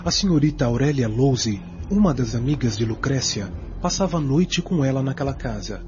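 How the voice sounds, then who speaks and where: A man's voice: medium pitch, expressive, moderate pace, noisy background. A man reading scripted narration as the storyteller of a radio drama. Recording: dull recording with loud music.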